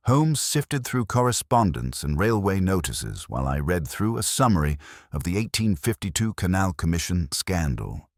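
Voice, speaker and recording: A man with a very deep, slightly husky voice narrates a detective story. His reading is measured, literary and dramatic, with plenty of melodic movement. It is an audiobook style of delivery. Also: dry recording, clean studio sound. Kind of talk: scripted first-person detective story narration.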